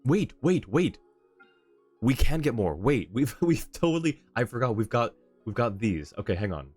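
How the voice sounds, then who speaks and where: A man's voice: mid-range voice, sing-song, normal speed, a little mellow, breathy edge, no echo. A man doing casual livestream gameplay commentary, muttering his thoughts aloud in short bursts and talking to chat. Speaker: game live streamer. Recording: game music behind the voice. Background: video game music.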